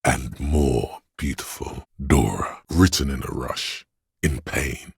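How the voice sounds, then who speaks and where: A man voicing a grim, goading inner voice of pain and endurance in a video game, narrating with slow, weighty, intense delivery and a husky, gravelly tone. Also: a bass voice, dry recording, studio quality, heavily acted. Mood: anguished, reverent.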